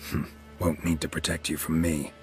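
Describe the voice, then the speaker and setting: A man playing a stoic, laconic monster hunter in a video game, with a low gruff voice and a terse, deadpan delivery. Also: normal speed, soft background music. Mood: dry, guarded. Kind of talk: video game cutscene acting.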